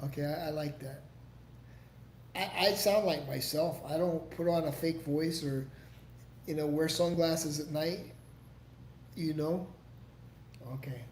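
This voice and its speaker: A man's voice: a little high, steady tone, a little slow, long pauses. A man hosting a casual livestream, answering viewer comments in a rambling, profane way with lots of restarts. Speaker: livestream host. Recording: echoey room with faint background noise.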